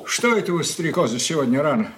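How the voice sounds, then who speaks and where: An actor playing a gruff, domineering older merchant father in a radio drama, blunt and scolding. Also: a little high, sing-song, fast, very noisy. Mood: stern, paternal. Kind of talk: Soviet radio drama stage acting. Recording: roomy echoing studio radio-play recording.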